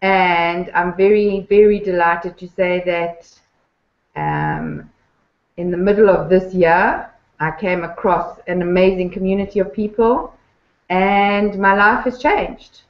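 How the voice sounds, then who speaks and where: A woman, a guest talking about her life in warm, enthusiastic, unhurried speech with thoughtful pauses, heard over a remote call. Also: on the low side, animated. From Zimbabwe. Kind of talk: casual interview chat. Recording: echoey, dull lo-fi remote line.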